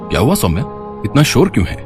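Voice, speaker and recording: A man voicing a calm, kindly, mild-mannered father in a moral story cartoon. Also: medium pitch, fast talker, soft background music. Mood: mildly curious.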